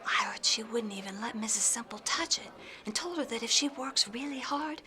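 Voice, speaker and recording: A woman's voice: a little high, moderate pace, pushed and bright. An actress playing a chatty, gossipy woman in a 1940s film noir radio play, with a breezy manner. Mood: gossipy, casual.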